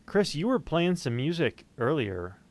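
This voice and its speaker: A middle-aged man co-hosting a livestream in casual, halting, jokey chat, often interrupting himself. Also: a little high, big pitch swings, darkish tone. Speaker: co-host of a livestream.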